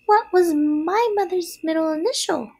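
A woman's voice: extremely high pitch, measured pace, warm tone, pitched above the performer's own voice. A woman voicing tiny newborn girl spiders from a storybook, cheerful and friendly, with small, airy voices. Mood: playful. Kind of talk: storybook character voice.

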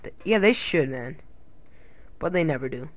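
A man's voice: on the high side, expressive, normal speed. A young man hosting a casual gaming commentary, joking, swearing and slipping into silly put-on accents. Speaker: gamer channel host.

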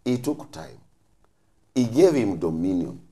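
A man's voice: on the low side, big pitch swings, measured pace, dark tone. A man, a bishop on a panel, explaining emphatically in short, preacherly phrases. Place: TV studio. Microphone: lapel mic.

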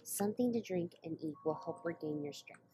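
Woman as a low, mellow, kindly pony healer. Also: expressive, a little fast, a little hiss or hum. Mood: gentle, reassuring. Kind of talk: fan cartoon voice acting.

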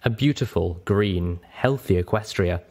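A man's voice: deep voice, measured pace, darkish tone. A man voicing an ancient, lonely dragon guardian in a story reading, weary, blunt and grave. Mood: consoling. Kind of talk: audiobook character voice.

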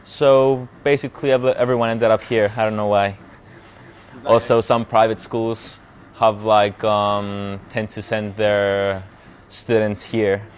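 A man's voice: fairly low pitch, flat delivery, slow. A young man with a Cypriot accent giving casual, hesitant interview answers full of fillers. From Cyprus. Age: young adult. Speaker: student society president. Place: large indoor student lounge.

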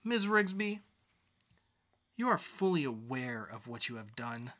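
A man's voice: medium pitch, big pitch swings, measured pace, pushed and bright. A man playing a stern, indignant courtroom judge, scolding and emphatic, in a dramatic comic book reading.

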